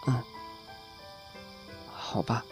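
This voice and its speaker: A man's voice: very slow, faint music behind. A man playing a shy young junior singer in an audio drama, hesitant and deferential. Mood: confused. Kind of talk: Chinese audio drama acting, soft dialogue.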